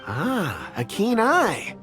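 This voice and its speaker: An actor playing an affable, forgetful young rock expert in an anime game, easygoing, polite and articulate. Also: male voice, sits high, wildly swooping pitch, extremely slow, exaggerated voice. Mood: admiring. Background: game music.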